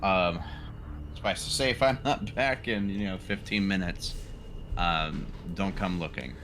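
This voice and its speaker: A man role-playing in a tabletop game, speaking casually in a halting, understated way. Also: sits low, noisy background. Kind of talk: casual improvised role-play.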